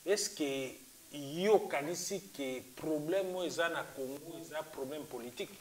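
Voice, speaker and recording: A man's voice: a little high, normal speed, slight background noise. A man hosting a discussion, jumping in with questions and stumbling over his first words. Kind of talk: moderating a discussion.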